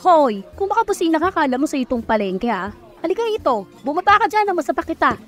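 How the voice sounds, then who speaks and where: An actress playing a brash, loyal and blunt young best friend in an audio drama, frank and emphatic. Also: female voice, sits high, very animated, quick pace. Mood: feisty, indignant. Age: young adult. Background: music.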